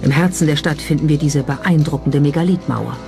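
A documentary narrator with a middle-register voice delivers scripted narration. Music plays clearly underneath throughout. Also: medium pitch, a little fast. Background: background music.

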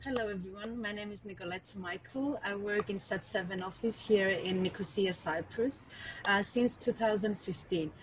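A woman's voice: medium pitch, normal speed, slight background noise, poor audio. A woman in her thirties introducing herself on a video call, speaking carefully and in a businesslike way into a headset. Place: plain indoor room.

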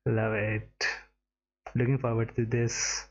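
A man's voice: medium pitch, little pitch movement, takes it slowly, muffled recording. A young man from India giving casual reaction commentary as a streamer, on a headset. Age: young adult. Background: game trailer music.

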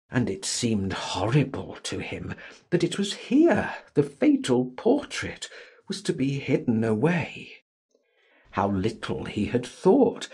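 A man narrating a literary audiobook in a dramatic, theatrical style with deliberate phrasing. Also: medium pitch, gravelly, darkish tone, husky.

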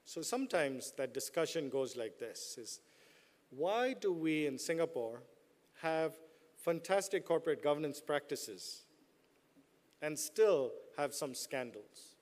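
A man's voice: a little high, big pitch swings, a little slow, a little mellow. A middle-aged man giving a commencement address from a podium in a large hall, mixing playful jokes with the audience into his speech. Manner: joking, playful asides to the audience. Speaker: business school dean giving a commencement speech. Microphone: podium microphones.

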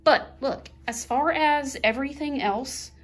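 A middle-aged woman chatting casually at her kitchen table, slowly listing the things she bought one by one. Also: high-pitched. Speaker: vlogger, mother of two. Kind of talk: casual haul chat.

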